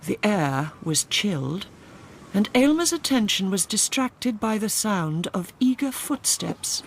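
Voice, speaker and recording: An Englishwoman narrating a radio drama in a dry, measured, literary storytelling manner. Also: mid-range voice, big pitch swings, slight background noise.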